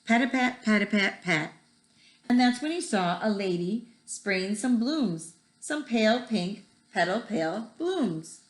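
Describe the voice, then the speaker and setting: A woman's voice: medium pitch, lively intonation, unhurried. A woman reading a children's picture book aloud expressively, with a rhythmic delivery that draws out repeated words. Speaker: read-aloud storyteller. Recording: echoey room.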